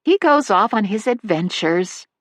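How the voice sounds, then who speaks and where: A woman voicing a fussy older woman who dotes on her cats, speaking shrilly and high. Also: lively intonation, a little slow, no echo, studio quality. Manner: shrill and high, frantic when upset. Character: fussy older woman, doting cat lady.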